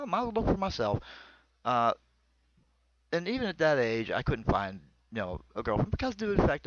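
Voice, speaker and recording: A dry, slightly dull recording of a middle-aged American man with a medium-pitched voice. He delivers a comic monologue of personal stories, rambling along in everyday, salty language. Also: no echo. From small town in northwestern Pennsylvania, United States. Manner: colloquial, rambling, crude-humored. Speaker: comedian telling stories.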